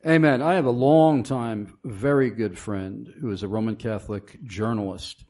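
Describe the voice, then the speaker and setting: A man's voice: fairly low pitch, normal speed, a little mellow. Emphatic male radio talk host. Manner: emphatic, reads listener questions aloud. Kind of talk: radio talk show hosting.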